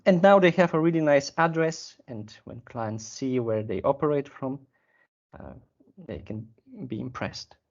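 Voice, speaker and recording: A man, a sales manager explaining a client case in a measured, careful way with pauses to find words. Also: mid-range voice, a little mellow, highs cut off. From Bulgaria. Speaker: sales and business development manager. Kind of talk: business interview.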